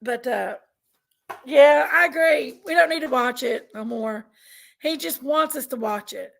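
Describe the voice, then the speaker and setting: Southern woman, raspy gravelly voice, high pitch, slow drawl, congested, livestream chat. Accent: American Southern. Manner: drawling, reads out chat names. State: congested from allergies. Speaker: livestream host. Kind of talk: casual livestream chat with viewers.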